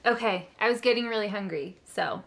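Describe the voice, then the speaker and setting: A woman's voice: mid-range voice, brisk, creaky, fairly bright tone, a little hiss or hum. A woman hosting a book video, chatting casually to viewers in an enthusiastic, giggly, gushing way. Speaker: host of a book haul video.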